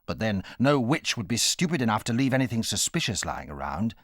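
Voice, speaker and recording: A man's voice: lowish voice, very animated, a little fast, a bit bright, dry recording, studio quality. A man acting as the narrator of a children's audio drama, recalling his own adventure with dramatic storytelling. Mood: absorbed, suspenseful.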